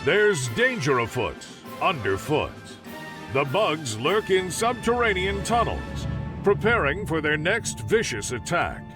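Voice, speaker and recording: An actor playing a zealous, upbeat military propaganda announcer in a video game, narrating in a dramatic, declamatory style. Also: male voice, fairly high pitch, big pitch swings, unhurried, over music. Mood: rousing, ominous.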